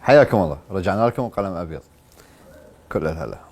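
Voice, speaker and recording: A man with a low voice hosts the show at a quick pace. His pitch jumps about animatedly, and he keeps the guest going with warm interjections. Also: faint music behind. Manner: animated, with frequent encouraging interjections. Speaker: talk show host. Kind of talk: interview questions, lively host chat.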